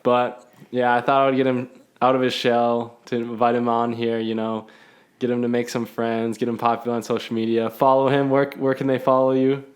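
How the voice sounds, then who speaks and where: A man's voice: mid-range voice, completely flat delivery, fairly quick. A young man hosting a casual podcast chat, teasing his guest and laughing often. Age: young adult. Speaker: podcast host.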